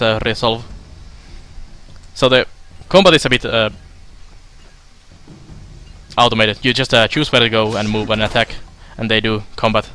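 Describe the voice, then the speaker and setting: A young man from Finland giving casual let's play commentary, halting with fillers as he reads game text aloud. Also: on the low side, sharp tone. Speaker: streamer.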